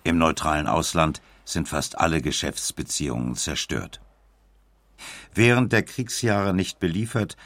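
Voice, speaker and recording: Very deep, gravelly male documentary narrator. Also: fairly bright tone, no echo, studio quality.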